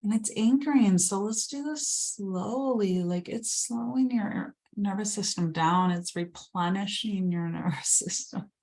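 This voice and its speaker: A woman leading a guided meditation, speaking slowly and soothingly, sometimes dropping to a whisper. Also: mid-range voice, a little mellow. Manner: slow, soothing, drifts into whispers.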